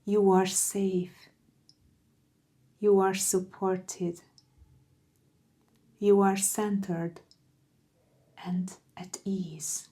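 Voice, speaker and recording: A woman guiding a meditation in a soft, soothing, gentle voice with breathy phrase endings. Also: mid-range voice, little pitch movement. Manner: soothing, gentle, unhurried with long pauses. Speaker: meditation guide. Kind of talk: guided meditation narration.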